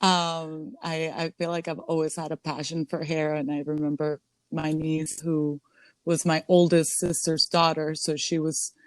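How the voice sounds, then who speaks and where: Woman in her twenties, from Costa Rica, lowish voice, chatty with ums, dry close sound. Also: little pitch movement, a little slow, no echo, poor audio. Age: 20s. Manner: chatty, many ums and restarts. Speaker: guest, salon owner. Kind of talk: casual interview.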